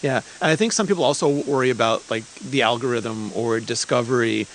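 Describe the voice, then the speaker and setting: A man's voice: mid-range voice, fairly quick, slight background noise, poor audio. A man from Montreal interviewing in a casual, informal and enthusiastic way. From Montreal, Canada. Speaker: interviewer and creator liaison.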